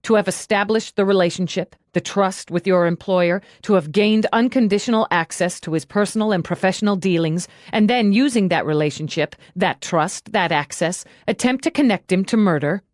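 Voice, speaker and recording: A woman's voice: mid-range voice, moderate pace, hardly any pauses, no echo, studio quality, lightly acted. A woman voicing a hard, relentless female police lieutenant in an audiobook, pressing a suspect with confrontational questions. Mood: cold, relentless. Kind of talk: audiobook character dialogue.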